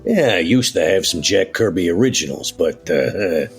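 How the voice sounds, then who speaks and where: Man doing very deep gravelly male vampire, husky, century-old tough guy, offhand, folksy slang, tabletop roleplay. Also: lively intonation, normal speed, soft background music. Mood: easygoing, humble.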